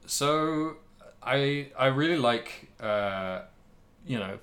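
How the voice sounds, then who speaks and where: A man's voice: medium pitch, animated, very slow, a bit bright. A man co-hosting a casual podcast about video games, talking in a rambling, jokey way. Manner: rambling, jokey, laughs often. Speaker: podcast co-host.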